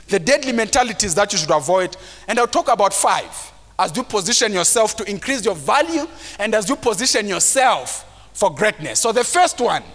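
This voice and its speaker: High, bright-voiced man speaking in a hall. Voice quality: mild stammer. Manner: preachy, rising to shouts for emphasis; acts out timid voices of self-doubting people. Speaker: motivational speaker. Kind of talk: motivational talk to a live audience. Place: large conference hall. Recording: long hall reverb.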